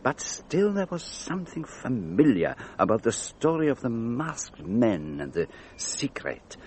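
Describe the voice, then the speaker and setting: An actor playing a brilliant, self-assured detective in a radio drama, precise and theatrical. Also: male voice, medium pitch, very animated, a little slow, slight background noise. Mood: explaining, deliberate. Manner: precise, theatrical, gently didactic.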